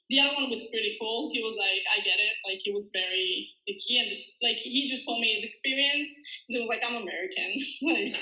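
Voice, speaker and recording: High, bright-voiced Israeli woman. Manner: chatty, laughs often, leans on "like". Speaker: guest. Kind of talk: casual storytelling. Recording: echoey room.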